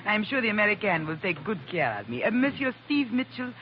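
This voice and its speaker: An actress playing a charming, sly adventuress with flirtatious, formal foreign phrasing in a 1940s radio drama. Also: female voice, mid-range voice, big pitch swings, a little fast, natural voice. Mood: smooth, inviting. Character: charming, sly female adventuress in exotic casino. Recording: muffled, noisy vintage radio recording.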